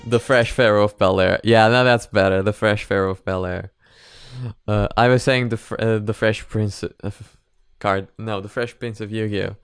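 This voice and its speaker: A male reaction host talks casually through his analysis. His voice is clean and smooth, recorded dry and close, and he circles back to rephrase as he thinks. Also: fairly low pitch, steady tone, normal speed, dry recording, faint music behind.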